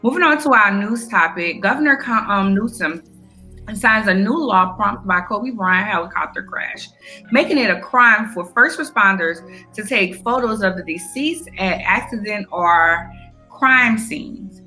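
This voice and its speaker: A woman's voice: mid-range voice, expressive, unhurried, sharp tone, faint music behind, poor audio. A blunt, opinionated American woman co-hosting a podcast and casually talking through the news. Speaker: podcast co-host. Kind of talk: casual news discussion between friends.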